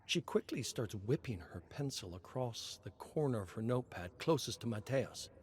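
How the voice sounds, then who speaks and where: A man reading a scripted dramatic story as narrator in a measured, suspenseful manner. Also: medium pitch, lively intonation, a little hiss or hum.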